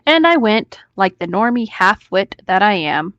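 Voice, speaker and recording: A woman's voice: mid-range voice, big pitch swings, measured pace, a bit bright. Female co-host for casual read-aloud storytelling; leisurely, informal, cheeky, a little muffled with little echo. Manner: informal, joking, swears freely. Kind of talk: casual online story reading with banter.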